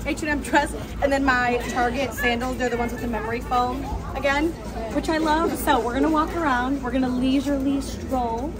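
A woman's voice: high voice, steady tone, fairly quick. A woman in her twenties chatting casually and cheerfully to camera as a travel vlogger, recorded outdoors on a handheld device. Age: 20s. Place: outdoors in a busy tourist village street. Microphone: phone or camera at arm's length. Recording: outdoor phone audio with busy crowd. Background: crowd chatter.

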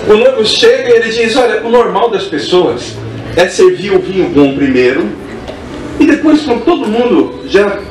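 A man's voice: high-pitched, big pitch swings, normal speed, darkish tone. A man officiating a wedding, preaching with emphatic, rising build-ups into a handheld mic. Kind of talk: wedding sermon. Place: large wedding tent, partly open. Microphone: handheld mic, recorded by far camera. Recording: echoey, distant, music behind.

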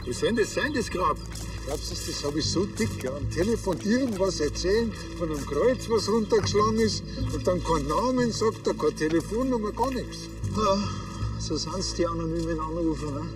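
Grumbling Bavarian village cop, higher-pitched. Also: male voice, lively intonation, fairly quick, far from the mic, music in the background. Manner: colloquial, grumbling.

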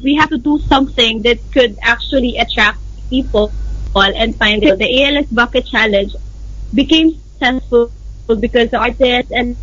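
A woman's voice: a little high, fairly bright tone, no echo. A young woman from the Philippines with a Filipino accent, explaining things as an interview guest. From Manila, Philippines. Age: young adult. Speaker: guest, youth activist. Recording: dull, lo-fi remote connection.

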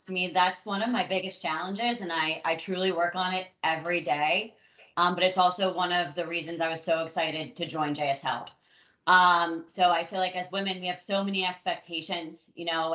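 Bright woman in an echoey room. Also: lowish voice. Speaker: panelist, forensic accountant. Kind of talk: panel discussion on a call.

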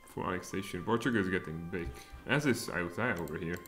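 A man in his thirties with a Czech accent, streaming a strategy game and thinking aloud, with sudden excited outbursts. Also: low voice, brisk. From Czech Republic. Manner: mutters thoughts aloud, then bursts out excitedly. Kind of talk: live strategy game commentary. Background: faint music and keyboard typing.

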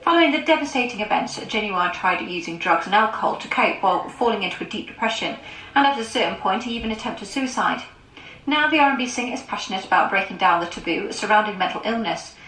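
A woman reading scripted entertainment news in an even, measured way, played back from a clip. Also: mid-range voice, echoey room, poor audio.

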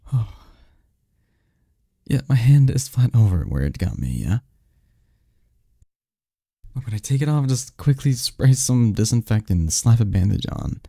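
A man playing a sardonic young research assistant in an audio roleplay, his voice very dark and gravelly. He talks haltingly and intimately, dropping at times into breathy tones, and the sound is close and dry. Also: lowish voice, dry recording, heavily acted. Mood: embarrassed, tense. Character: snarky, cynical young research assistant, flustered when hurt. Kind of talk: intimate one-sided audio roleplay acting.

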